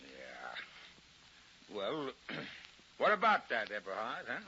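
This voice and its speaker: A put-upon police lieutenant speaks in a bright, forceful voice with lively intonation. He is dry, gruff and sarcastic, in the manner of 1940s radio crime drama, on a dull, hissy recording. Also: fairly low pitch, drawn-out words, full character voice. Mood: sardonic. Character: gruff, sardonic male police lieutenant, exasperated but indulgent.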